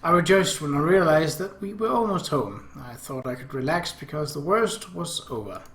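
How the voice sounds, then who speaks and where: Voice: mid-range voice, animated, moderate pace, fairly bright tone. A game host reading narration aloud and adding casual asides. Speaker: host reading and reacting to a visual novel. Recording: echoey room.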